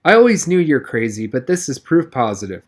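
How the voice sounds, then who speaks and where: A man's voice: mid-range voice, very animated, brisk. A man voicing an adamant, indignant pregnant woman in a storybook, scornful and stubborn.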